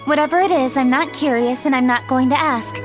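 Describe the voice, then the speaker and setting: Actress as principled young noblewoman, game cutscene: high, formal, firm and matter-of-fact. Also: brisk, music in the background, lightly acted. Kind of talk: video game cutscene acting.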